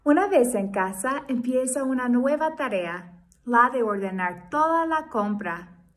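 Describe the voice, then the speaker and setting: Female teacher narrating a picture book, slightly high voice. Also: animated, measured pace. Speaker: elementary school teacher reading aloud. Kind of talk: children's storybook reading.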